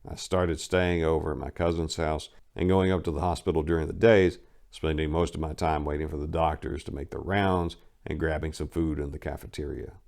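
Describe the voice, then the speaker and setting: A man's voice: extremely low pitch, fairly even intonation, a little fast, vocal fry, a little mellow. A man giving a first-person dramatic reading of a memoir, telling it bluntly and wryly with sudden emphatic lifts in his voice. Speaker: narrator reading a memoir aloud.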